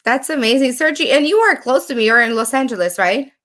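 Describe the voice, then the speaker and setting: A woman's voice: high-pitched, animated, quick pace, a bit bright. A woman hosting a podcast, chatting casually and laughing easily with her guest. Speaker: podcast host. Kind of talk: casual interview chat.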